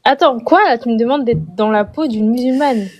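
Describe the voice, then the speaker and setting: A woman's voice: fairly high pitch, normal speed, a little mellow. A woman joining an online debate, answering hesitantly and joking. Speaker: debate participant.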